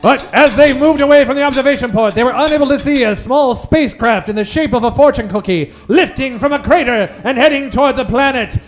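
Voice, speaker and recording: Guy narrator for an old time radio spoof, arch and dramatic, really high voice. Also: brisk, hardly any pauses.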